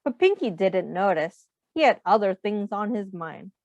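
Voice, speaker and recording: A woman reading a picture book aloud to young children in an expressive, teacherly way. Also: medium pitch, moderate pace. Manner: expressive, asks the listeners questions. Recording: muffled, echoey room sound.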